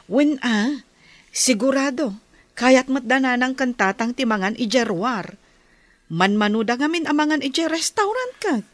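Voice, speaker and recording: Female, Ilocano radio drama: actress as spiteful village gossip, high swooping voice, snide and irritable. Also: expressive, measured pace, dry recording. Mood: agreeable.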